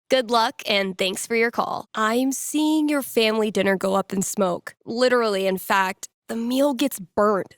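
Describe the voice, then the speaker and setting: A woman's voice: medium pitch, no echo, clean studio sound, natural voice. An actress playing a wry, playful young American woman in a video game, with casual radio DJ patter and a teasing way of talking.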